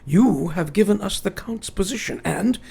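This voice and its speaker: An actor playing a grave, commanding elderly professor in a radio play, speaking in formal, old-fashioned, deliberate phrases. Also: male voice, high voice, animated, vocal fry, dark tone, breathy edge, full character voice. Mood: pleased.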